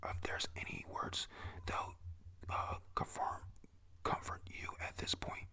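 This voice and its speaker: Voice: slow pace, bright, forceful tone. A reader whispering the lines of a battered, tough older male marine speaking to a former rival. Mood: solemn.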